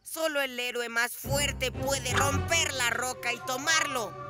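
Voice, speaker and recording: A woman's voice: sits high, fairly even intonation, slow pace. A woman voicing a boastful, bossy young ninja villain boy in a Latin American Spanish cartoon dub, pompous and commanding. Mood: haughty. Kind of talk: animated children's cartoon dub. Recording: loud music behind the voice.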